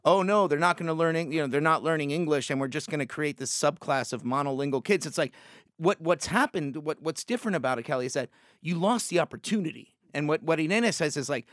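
A man's voice: on the high side, fast talker, dry recording, studio quality. A man from Puerto Rico, a native Spanish speaker, arguing emphatically on a panel with many restarts and "like"s. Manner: animated, emphatic, lots of "like" and restarts. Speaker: panel guest. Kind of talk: panel discussion.

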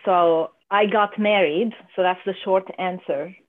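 A woman's voice: mid-range voice, fairly even intonation, a little slow. A middle-aged woman from Lebanon who directs a university IT team. She is a podcast guest and explains things earnestly, step by step. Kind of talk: conversational interview answers. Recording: tinny phone-like line.

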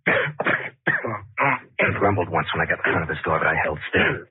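A man's voice: deep voice, lively intonation, unhurried, narrow, tinny audio, very noisy. An actor playing a furtive, frightened man with a deadly plan, telling his story tensely in 1940s radio suspense style. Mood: hushed, fearful. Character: furtive, frightened man plotting a killing, narrating his deed. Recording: 1940s radio recording with loud organ-era music behind.

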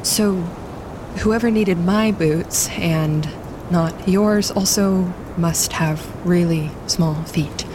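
An actress playing an uneasy woman on a wilderness expedition, speaking haltingly in naturalistic drama dialogue. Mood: worried. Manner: hesitant, halting. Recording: low rumbling background noise.

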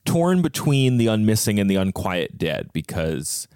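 Deep-voiced man chatting on a dry mic. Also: very animated, normal speed, a little mellow, clear, resonant tone, dry recording. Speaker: podcast guest, co-host of a book podcast. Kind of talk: casual chat.

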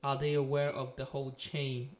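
A man's voice: medium pitch, monotone, normal speed, a crowd in the background. A man hosting a casual podcast interview, with lots of backchannel and easy conversation. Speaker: podcast host.

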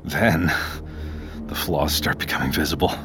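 An actor playing a troubled, scarred captive man in an audio drama, narrating in a brooding, hushed, deliberate manner. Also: very deep voice. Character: dark, troubled scarred male captive, narrating his own ordeal.

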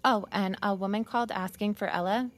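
An actress playing a friendly, obliging young babysitter in a naturalistic audio drama. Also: female voice, medium pitch, fairly even intonation, brisk, lightly acted. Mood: friendly, helpful.

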